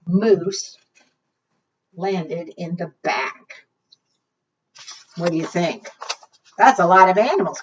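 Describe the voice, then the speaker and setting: Children's librarian, female, expressive read-aloud with questions to little listeners; unhurried, recorded at a distance with dull sound. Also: medium pitch. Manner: asks the young listeners questions.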